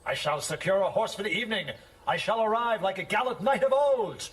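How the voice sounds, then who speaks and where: Fussy, swooping-voiced schoolmaster. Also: male voice, sits high, expressive, fairly quick. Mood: excited. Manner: theatrical, precise diction. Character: fussy, lovestruck, pompous young schoolmaster. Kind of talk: audio drama acting. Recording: faint background noise.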